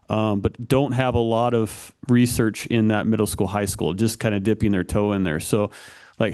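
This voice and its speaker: Brief: male superintendent, somewhat low voice, earnest and explanatory, lots of ums. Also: a little mellow. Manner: earnest, explanatory, many fillers. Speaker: school superintendent. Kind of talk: testimony at a legislative hearing.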